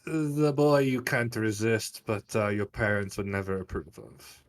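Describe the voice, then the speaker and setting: A man's voice: fairly low pitch, a little slow, lightly acted. Man acting suave flirtatious sea captain lord. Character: flirtatious, charming male sea captain lord, playful rogue.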